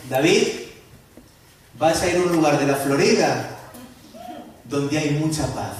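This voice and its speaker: A man preaching as a pastor, lively, asking the congregation questions and joking with them. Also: high-pitched. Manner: lively, asks the audience questions and jokes. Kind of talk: sermon to a church gathering. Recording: echoey hall from far off.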